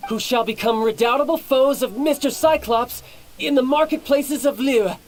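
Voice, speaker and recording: An actor playing a theatrical, bossy young military commander in a video game, speaking in pompous speeches. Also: male voice, extremely high pitch, moderate pace. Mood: lofty, commanding. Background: light game music.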